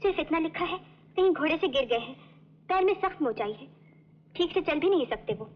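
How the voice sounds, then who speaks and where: Casting: earnest young sister, extremely high voice, dull old film soundtrack. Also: female voice, animated, fairly quick. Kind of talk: old Hindi film drama acting.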